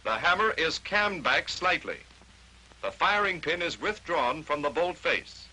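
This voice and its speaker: A man's voice: on the high side, lively intonation, unhurried, bright, forceful tone, breathy edge, rough recording. An American man narrating a 1940s instructional training film, explaining step by step in short declarative statements on a vintage film soundtrack.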